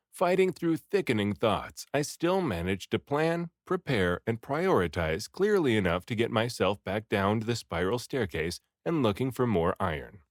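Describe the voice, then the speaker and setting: Animated low-voiced man reading a story. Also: no room sound, clean studio sound. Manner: animated, theatrical delivery with acted dialogue. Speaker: audiobook reader. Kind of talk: expressive story read-aloud.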